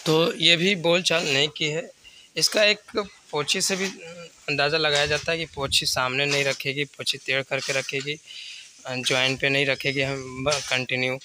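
A man's voice: a little high, fairly even intonation, unhurried, bright, forceful tone, a little hiss or hum. A man with a Bihari Hindi accent hosting his own channel, walking through his cattle shed and explaining things in a casual, conversational way, mixing in English words. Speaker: dairy farmer and channel host. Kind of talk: casual walkthrough vlog. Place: open-sided cattle shed outdoors.

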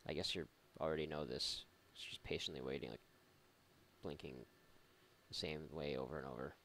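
Guy gamer with a deep gravelly voice, joking and muttering thru his playthrough. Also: fairly even intonation, moderate pace, a bit bright, a little hiss or hum. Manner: irreverent, mocking, sweary, thinking aloud. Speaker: gaming YouTuber. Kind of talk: casual let's play commentary.

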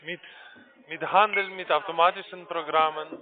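A man with a foreign accent making a phone sales pitch in formal, halting German with grammar slips. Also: fairly even intonation, crowd noise. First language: not German. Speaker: call-centre salesman. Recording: tinny narrow phone line.

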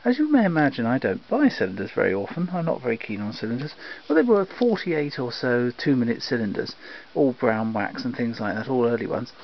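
Male presenter, theatrical and mock-formal, highly varied intonation, dull lo-fi sound. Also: very animated, brisk. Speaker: collector presenting antique phonograph cylinders. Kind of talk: video presentation to viewers. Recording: dull lo-fi sound with faint noise.

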